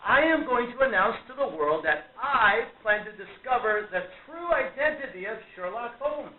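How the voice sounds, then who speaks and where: A man's voice: extremely high pitch, animated, moderate pace. An actor playing a brash, stubborn younger showman in a radio play, declaiming with insistent zeal. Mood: boastful, excited. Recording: roomy, muffled amateur recording.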